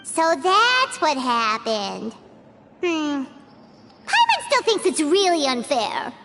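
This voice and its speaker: A woman voicing a tiny, excitable, childlike female fairy companion who talks about herself in the third person, in video game dialogue. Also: sits high, slow pace, noisy background, heavily acted. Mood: chirpy.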